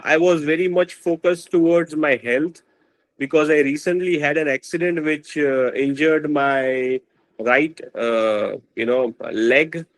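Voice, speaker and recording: A man, a podcast guest, talking in a hesitant, halting way full of fillers. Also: fairly high pitch, no echo, low-quality recording. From India. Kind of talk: casual interview talk.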